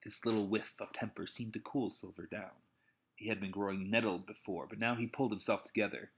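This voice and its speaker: A man's voice: on the low side, steady tone, fairly quick, creaky, fairly bright tone, a little hiss or hum. A man reading an adventure novel aloud as dramatic narration, an amateur audiobook reader.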